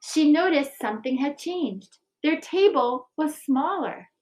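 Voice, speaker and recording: A woman in an expressive, deliberate read-aloud style, like school staff sharing a picture book and news with families. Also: high-pitched, poor audio. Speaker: school staff member reading aloud and presenting a recipe book project. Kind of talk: children's storybook read-aloud and school announcement.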